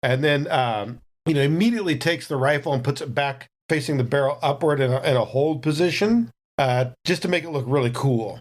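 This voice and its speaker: A man's voice: a little high, a little fast, hardly any pauses. A man playing a tabletop roleplaying game, casually describing what his character does.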